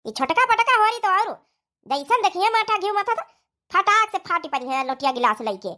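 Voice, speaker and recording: A woman's voice: extremely high pitch, sing-song, moderate pace, bright, forceful tone, exaggerated voice. A woman voicing a bossy, grumbling older mother-in-law in a village cartoon, with an Awadhi accent. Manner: bossy, complaining. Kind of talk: cartoon comedy dialogue in rural dialect.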